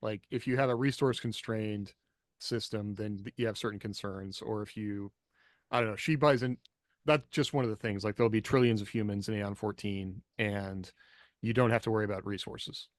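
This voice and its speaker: A man with a fairly low voice in a very dry recording. He chats as a podcast co-host, musing his way through ideas with lots of loose, casual phrasing. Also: fairly even intonation, moderate pace, no room sound. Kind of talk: casual panel discussion.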